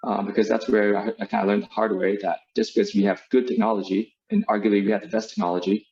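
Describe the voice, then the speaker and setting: A man's voice: on the low side, fast talker, creaky, darkish tone. A man in his forties, born in Vietnam and raised in the United States, presenting as a professor in a video call, with many fillers and restarts. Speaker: business school professor giving a webinar talk. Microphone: video call microphone. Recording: dull lo-fi video call audio.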